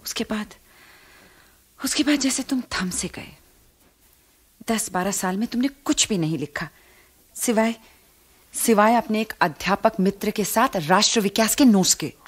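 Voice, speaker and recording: A woman playing an affectionate, reproachful middle-aged wife in a radio drama, speaking in a familiar, earnest, gently scolding way. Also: mid-range voice, a little slow, long pauses, husky. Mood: earnest, concerned. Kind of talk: radio play acting.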